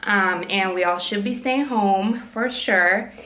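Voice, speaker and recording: Chatty young woman with loud voice. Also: mid-range voice, slow, echoey room, rough recording. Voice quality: naturally loud voice. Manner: frank, swears freely, many "like" and "um" fillers; speaking softly to keep quiet. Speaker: vlogger, PhD student. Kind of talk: casual chat while doing nails. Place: room at home.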